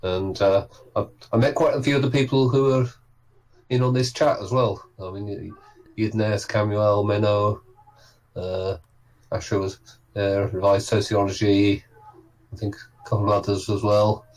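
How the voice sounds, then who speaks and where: Male guest, low and hoarse, relaxed talker who goes on at length. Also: measured pace, rough recording. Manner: chatty and easygoing, recounting at length. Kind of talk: casual conversation.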